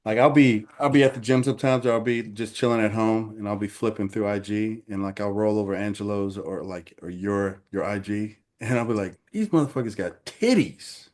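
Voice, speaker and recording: Laid-back man in his forties, low voice. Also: a little fast, few pauses, a little mellow. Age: 40s. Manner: laid-back and encouraging, fillers like "you know". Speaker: podcast host. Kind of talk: casual podcast chat.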